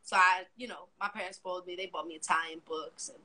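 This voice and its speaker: A woman's voice: on the low side, fast talker, low-quality recording. A young woman with a New York accent, Spanish as her first language, chatting animatedly as a guest and acting out quotes. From Miami, Florida. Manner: animated, swearing, acts out quotes. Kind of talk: casual interview chat.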